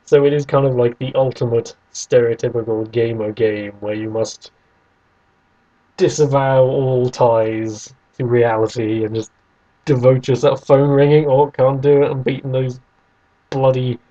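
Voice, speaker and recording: A man's voice: mid-range voice, little pitch movement, unhurried, warm tone, lo-fi sound. A man chatting casually as a podcast co-host, bantering and arguing his point. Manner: bantering, argumentative. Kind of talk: casual debating chat.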